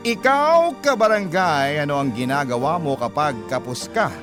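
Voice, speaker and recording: A man's voice: a little high, animated, moderate pace. A man working as a radio host, narrating a heartfelt first-person letter in a dramatic storytelling style. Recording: music bed behind voice. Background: prominent guitar music.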